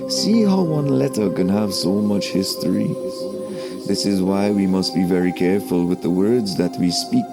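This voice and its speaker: A man narrating a scripted spiritual lesson in a deliberate, declamatory, solemn way, like a preaching spoken-word teacher. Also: low voice, expressive. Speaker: narrator and host of a spiritual story series. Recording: voice over background music. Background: music.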